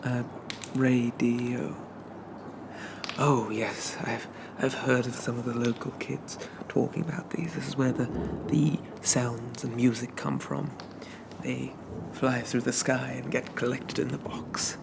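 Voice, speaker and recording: Man playing a shy, creaky-voiced zombie gentleman, slow. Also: mid-range voice, flat delivery, noisy background. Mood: curious, awed. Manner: hesitant, stammering, apologetic, old-fashioned polite phrasing. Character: shy, polite young male zombie from a bygone era. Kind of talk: ASMR roleplay, soft-spoken.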